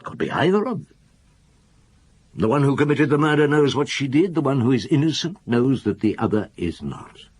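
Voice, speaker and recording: Husky, commanding police chief inspector. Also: male voice, medium pitch, big pitch swings, darkish tone. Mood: grave, measured. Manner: probing, measured, commanding. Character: shrewd, relentless French police chief inspector. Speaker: actor in lead detective role. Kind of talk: radio drama interrogation.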